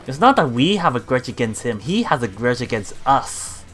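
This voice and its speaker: A streamer reading visual novel text aloud in a halting way, adding casual commentary over game music. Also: medium pitch, sing-song, fairly bright tone. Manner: halting reading, often repeating broken words. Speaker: let's player streamer.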